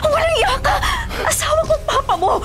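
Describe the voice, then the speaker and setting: A woman's voice: extremely high pitch, lively intonation, brisk, heavy background noise. A woman playing a proud, emotional young wife in a Filipino radio drama, with earnest melodramatic delivery and a Filipino accent. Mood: defiant, angry. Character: Celia, young wife, tearful, grateful, fiercely proud. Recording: music behind the voice.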